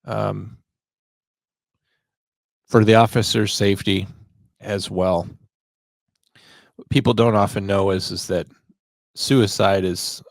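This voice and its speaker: A man who works as a 911 dispatcher hosting a podcast, giving reflective solo commentary in halting, deliberate phrases. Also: deep voice, no echo.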